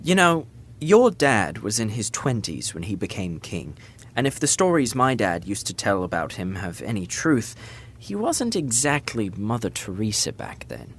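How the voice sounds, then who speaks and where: An actor playing a prince's loyal, level-headed young best friend, bantering dryly in a modern comedy audio drama. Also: male voice, lowish voice, big pitch swings, moderate pace, a little hiss or hum. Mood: wry. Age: young adult. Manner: dry, teasing banter.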